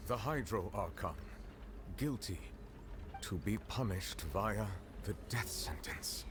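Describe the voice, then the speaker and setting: A grave, formal judge. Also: male voice, lowish voice, lively intonation, background noise. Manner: measured, formal. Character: solemn male chief judge, grave and formal. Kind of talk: game dialogue. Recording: game soundtrack underneath. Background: game music.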